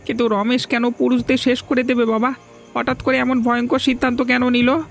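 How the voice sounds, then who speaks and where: A man's voice: very high voice, monotone, a little fast, vocal fry, fairly bright tone, soft background music, exaggerated voice. A man playing a timid, earnest young villager in a fairy-tale cartoon, with an anxious, questioning manner. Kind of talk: dramatic dubbed cartoon dialogue.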